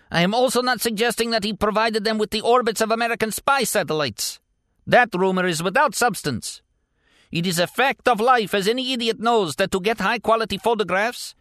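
A man's voice: sits high, fairly bright tone, dry recording, studio quality, voice pushed up. A man playing a blustering mad warlord. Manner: blustering, furious, theatrical. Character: mad, pompous middle-aged male warlord.

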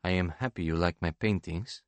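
A man's voice: sits low, moderate pace, no echo, natural voice. A man voicing a passionate, blunt young woman painter in an audiobook, speaking with earnest emphasis. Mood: cool, wary.

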